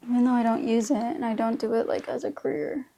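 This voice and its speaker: A woman's voice: a little high, fairly even intonation, fast, creaky, dark tone. A woman in her mid twenties chatting casually through a makeup tutorial in informal, slangy speech. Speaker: beauty vlogger.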